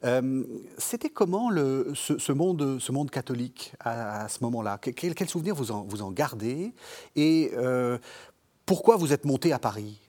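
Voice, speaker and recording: A man's voice: mid-range voice, animated, normal speed, warm tone. Male tv host, interviewing a guest, polite, keeps restarting his questions. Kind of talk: TV studio interview.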